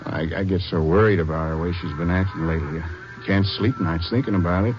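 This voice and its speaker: An actor playing an outwardly devoted, anxious rancher husband, folksy and solicitous, in a 1950s radio western. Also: male voice, deep voice, quick pace, over music, close to an everyday voice. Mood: worried. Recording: muffled, noisy 1950s radio broadcast.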